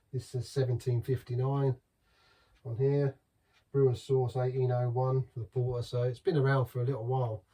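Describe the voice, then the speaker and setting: A man's voice: mid-range voice, warm tone, slightly rough. A middle-aged man chatting casually through a beer review, rambling and restarting as he talks. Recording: voice from some distance from the mic.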